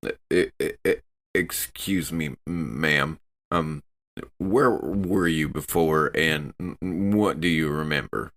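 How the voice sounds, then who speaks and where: A man's voice: a bass voice, takes it slowly, fairly bright tone, full character voice. A man playing a courteous, confused spaceship crewman in a tabletop game, hesitant and stammering. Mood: hesitant, questioning. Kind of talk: tabletop role-play acting.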